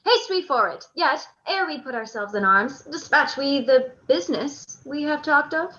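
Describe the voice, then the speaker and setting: Woman as proud noble general in a radio play, high bright voice, formal shakespeare talk, bit lo-fi. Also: expressive, moderate pace. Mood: solemn, resolved. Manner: formal, declamatory. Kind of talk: live radio play acting in Shakespearean verse.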